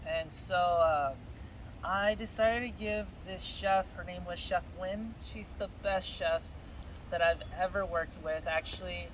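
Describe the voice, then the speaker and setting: A man with a high voice tells his story in a loose, easy way and laughs a lot. He grew up in California, and his first language is Spanish. Street traffic and outdoor noise come through clearly behind him. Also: measured pace, poor audio. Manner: relaxed storytelling, laughs often. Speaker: guest telling his story. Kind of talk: casual chat.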